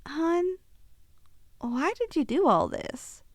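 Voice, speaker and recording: High, unhurried, bashful sweet young woman, acted by a woman. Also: big pitch swings, no echo, studio quality, full character voice. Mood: delighted, affectionate. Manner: soft, hesitant, affectionate, sighing. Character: sweet, bashful young woman shrunk tiny, tenderly confessing feelings. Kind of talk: ASMR roleplay.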